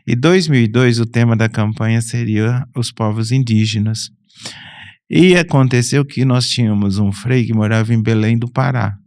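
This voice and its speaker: A middle-aged man from Brazil, a Franciscan friar and priest, recounting his life in an unhurried, relaxed way, often restarting and correcting himself. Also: medium pitch, no room sound, studio quality. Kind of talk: relaxed personal storytelling.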